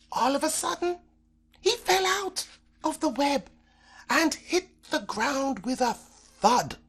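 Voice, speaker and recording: A woman reading a children's story as an expressive storyteller, with dramatic, deliberate phrasing. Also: high voice.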